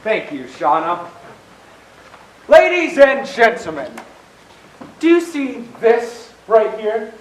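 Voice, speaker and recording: A man's voice: extremely high pitch, very animated, slow pace, long pauses, full character voice. A man playing an indignant, self-important young debater with earnest, pompous delivery in an amateur stage play. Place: stage in a hall. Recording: echoey hall, distant mic, faint noise.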